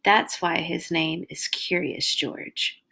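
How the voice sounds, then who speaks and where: A woman hosting a read-along, reading a children's picture book aloud with animated, exaggerated emphasis for young listeners. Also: lowish voice, slow, gravelly.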